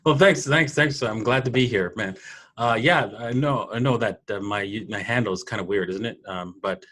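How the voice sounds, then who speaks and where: A man, telling personal stories in a casual interview in an animated way. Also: mid-range voice, moderate pace. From Toronto, Canada. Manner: animated storyteller, laughs often. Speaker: podcast guest, programmer.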